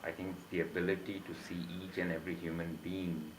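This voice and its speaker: Very deep-voiced young man, roomy sound. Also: level tone, slight background noise. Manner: slow and considered. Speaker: graduate student. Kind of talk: thoughtful interview answer. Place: indoor room. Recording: roomy echo.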